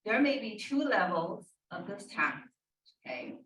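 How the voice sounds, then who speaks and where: A middle-aged woman speaking as an escrow officer on a panel, friendly and measured. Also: medium pitch, lively intonation, fairly bright tone. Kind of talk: panel talk. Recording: echoey room, distant mic.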